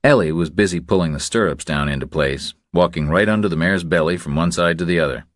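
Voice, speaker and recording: A man's voice: low-pitched, fast talker, dry recording, studio quality. A man reading a crime thriller audiobook as a scripted narration.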